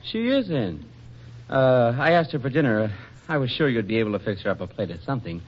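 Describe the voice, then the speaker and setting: An American actor playing an oblivious, defensive young husband in a 1940s radio comedy, with a colloquial, hesitant manner. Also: male voice, medium pitch, big pitch swings, brisk. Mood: sheepish. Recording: dull, noisy 1940s radio recording.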